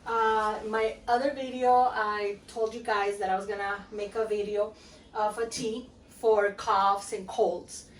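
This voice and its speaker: A woman's voice: medium pitch, flat delivery, measured pace. A woman from Guatemala, perhaps in her thirties, chatting casually with lots of fillers while she shows a recipe in her home kitchen. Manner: chatty, rambling, many fillers and "okay?" check-ins. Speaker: home cooking vlogger. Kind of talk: casual home cooking demonstration. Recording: roomy, mic at a distance, faint room noise.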